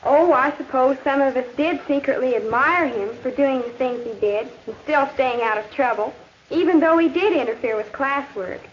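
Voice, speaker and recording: A young woman playing an earnest high school girl with an American accent, narrating a 1950s educational film in a conversational way. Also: normal speed, close to an everyday voice. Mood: earnest, confiding. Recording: muffled, noisy 1950s film soundtrack.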